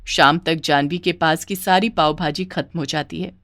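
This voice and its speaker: A woman's voice: low-pitched, fairly even intonation, normal speed, a bit bright, no room sound. Woman narrator, dry close storytelling voice.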